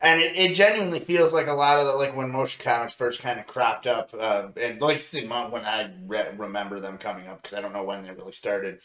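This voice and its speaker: A man co-hosting a review show in casual chat, with a halting, rambling way of telling things. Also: medium pitch, steady tone, fairly bright tone. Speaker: co-host of an anime review show. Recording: roomy, echoey sound.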